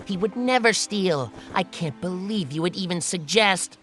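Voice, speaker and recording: Man playing a bright, animated, brash monkey hero. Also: high voice, moderate pace, faint music behind. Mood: indignant. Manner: emphatic, indignant. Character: brash, hot-tempered male monkey hero. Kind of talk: audio storybook character acting.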